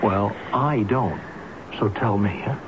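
An actor playing a kindly, folksy friend in a radio drama, hesitant and stammering, full of sympathy and concern. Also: male voice, fairly low pitch, slow. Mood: sympathetic. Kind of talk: 1970s radio drama acting. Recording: noisy muffled old radio broadcast recording.

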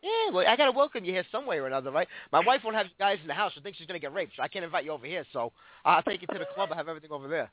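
A hoarse man hosting a radio show, chatting eagerly and joking with his guests. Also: high-pitched, lively intonation, rapid-fire, hardly any pauses, no room sound. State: hoarse from illness. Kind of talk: casual radio interview chat. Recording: tinny dry phone-like sound.

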